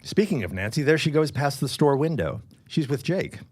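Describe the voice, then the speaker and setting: An actor playing a gentle, troubled middle-aged father in a period audio drama, formal and mildly droll in manner. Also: a little high, lively intonation, moderate pace, no room sound. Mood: courteous.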